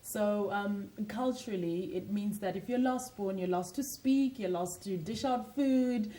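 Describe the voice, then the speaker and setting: A woman's voice: mid-range voice, unhurried, dark tone, low-quality recording. A woman from Zimbabwe, a lifestyle coach and author, speaking earnestly and motivationally as a guest in a TV studio interview.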